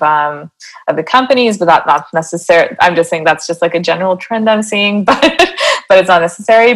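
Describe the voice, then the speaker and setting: A woman's voice: fairly low pitch, rough recording. A woman in her thirties with a Canadian accent, a coach talking earnestly in a casual interview, rambling with many fillers. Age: 30s. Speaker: guest, transformation coach.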